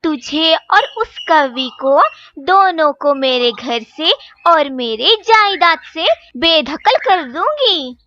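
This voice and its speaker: A woman voicing a bossy, aging mother crow in a children's cartoon, stern, deliberate and threatening. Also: sits high, bright, forceful tone, exaggerated voice. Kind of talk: children's cartoon story acting. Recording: dull lo-fi sound, clear noise.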